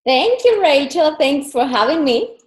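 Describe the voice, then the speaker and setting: A woman's voice: high voice, big pitch swings, unhurried, reverberant. A woman from Colombia with a Colombian Spanish accent, an interview guest and style coach telling her story in an earnest, flowing way. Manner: earnest, flowing, repeats phrases for emphasis. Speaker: personal brand and style coach.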